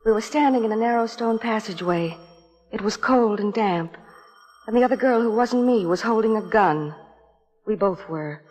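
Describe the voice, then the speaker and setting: A woman's voice: mid-range voice, moderate pace, darkish tone, close to an everyday voice. An actress playing an anxious, exhausted young woman, narrating her nightmare dramatically in 1950s suspense radio style. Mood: haunted, dread. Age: young adult. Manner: dramatic, dreamy first-person narration. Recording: 1950s radio broadcast, long reverb, music behind.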